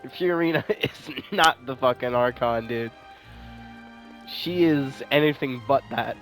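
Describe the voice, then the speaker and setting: A man's voice: mid-range voice, unhurried, a bit bright, faint music behind. A man giving casual reaction commentary as he plays a video game. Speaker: gaming streamer.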